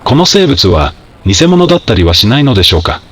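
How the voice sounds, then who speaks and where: A man's voice: medium pitch, very animated, fairly quick, a bit bright, a little hiss or hum. A man playing a formal TV news anchor in a scripted broadcast drama. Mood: composed, formal.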